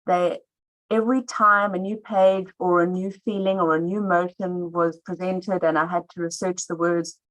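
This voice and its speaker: A woman speaking at a live book launch. Her voice sits on the low side. She talks in an earnest, warm way and keeps breaking off to restart her sentences. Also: little pitch movement, moderate pace, poor audio. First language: not Afrikaans. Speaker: artist.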